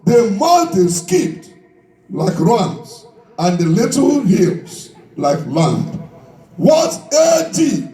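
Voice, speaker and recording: A man's voice: very high-pitched, big pitch swings, drawn-out words, warm tone. A man preaching a fervent sermon, declaiming and repeating phrases emphatically as he calls for responses from his congregation. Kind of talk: fervent Pentecostal-style sermon with call and response. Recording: echoey hall PA, distant mic, background music.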